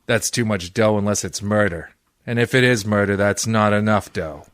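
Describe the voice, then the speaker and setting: A man acting a cynical, wisecracking private eye in an old-time radio detective drama, with a dry deadpan delivery. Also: on the low side, normal speed, clear, resonant tone, no room sound, close to an everyday voice. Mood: curt, sardonic. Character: hard-boiled, wisecracking male private eye and odd jobber.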